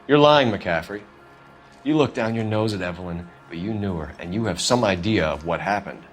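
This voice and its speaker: A man's voice: deep voice, lively intonation, moderate pace, faint music behind. An actor playing an earnest police homicide detective in a 1940s crime game, formal and pressing in his questioning. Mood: accusing.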